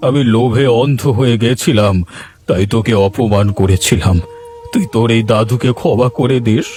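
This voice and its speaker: A man voicing a frail, dying old grandfather in a cartoon, speaking weakly and haltingly. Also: mid-range voice, warm tone, faint music behind, close to an everyday voice. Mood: remorseful, weak. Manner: weak, slow, halting. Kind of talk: cartoon dialogue acting.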